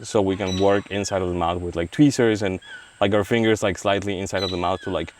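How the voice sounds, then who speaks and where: A man from Mexico, talking through an interview about wildlife work in a halting way, with many restarts and fillers. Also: low voice, fairly even intonation, a little mellow. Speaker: wildlife veterinarian. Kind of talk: casual interview. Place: outdoors in a rainforest release site. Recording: faint outdoor noise, rain. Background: faint rain and forest noise.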